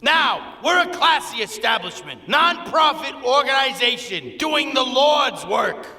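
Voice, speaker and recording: A man's voice: very high-pitched, very animated, unhurried, pushed and bright, heavily acted. A man playing a brash, foul-mouthed show announcer, blustering and exasperated through his comic emcee patter. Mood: brash, irritated. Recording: echoey hall with music and crowd.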